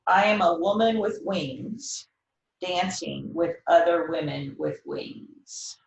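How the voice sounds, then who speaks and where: A middle-aged woman talking reflectively and intimately about poetry, gently and contemplatively, with hesitant pauses. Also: on the low side, fairly even intonation, gravelly. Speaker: host reading and discussing poetry. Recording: roomy, slightly dull sound.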